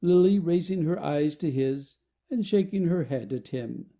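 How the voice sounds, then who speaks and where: A man's voice: on the high side, animated, unhurried, mellow. A man narrating an audio play, reading out scripted stage directions.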